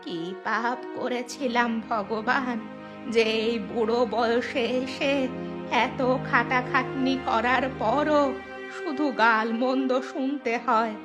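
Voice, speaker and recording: A woman acts out a put-upon old mother-in-law from a cartoon. She speaks slowly in a fairly high, plaintive voice, meekly pleading. Music plays clearly behind her. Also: fairly even intonation. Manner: slow, plaintive, complaining. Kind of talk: Bengali cartoon voice acting.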